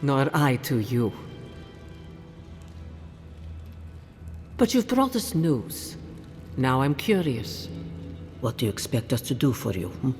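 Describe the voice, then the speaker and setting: An actor playing a proud, stern and suspicious council leader in a fantasy game, with a formal, challenging, imperious manner. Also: male voice, medium pitch, big pitch swings, measured pace, a little mellow, soft background music. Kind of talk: dramatic fantasy game acting. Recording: long hall reverb.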